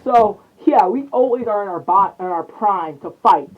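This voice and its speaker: A woman's voice: mid-range voice, lively intonation, normal speed. A woman improvising a story in dialogue, with slangy, profane, stumbling delivery, as a casual creator. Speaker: fan fiction storyteller and channel creator. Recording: noisy muffled lo-fi recording with clicks.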